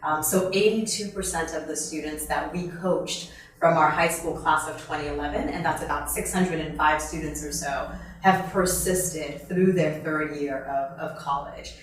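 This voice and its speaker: A woman with a low voice, born in Haiti, talks through her work in a measured, earnest way with the odd restart. She sounds set back from the microphone, and the room rings with strong echo. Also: fairly even intonation. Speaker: nonprofit founder. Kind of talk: interview answers. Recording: roomy, from far off.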